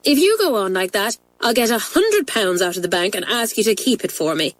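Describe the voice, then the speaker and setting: A woman's voice: mid-range voice, lively intonation, brisk, natural voice. A woman voicing an earnest, eager schoolgirl who speaks in a raised, pleading voice in a storybook reading.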